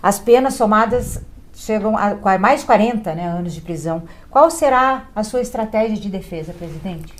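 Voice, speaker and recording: A woman's voice: mid-range voice, expressive, moderate pace. A woman journalist with a Brazilian accent, putting direct, formal questions to an interviewee.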